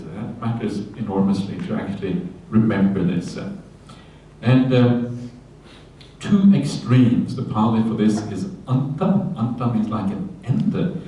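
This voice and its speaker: Monk in an echoey hall. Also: male voice, lowish voice, measured pace, slight background noise. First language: Norwegian. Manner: relaxed, checks in with listeners with "yeah?", light humorous asides. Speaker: Buddhist monk teacher. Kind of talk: dharma talk on a sutta. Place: retreat hall. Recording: very echoey hall, voice from a distance, faint ambience.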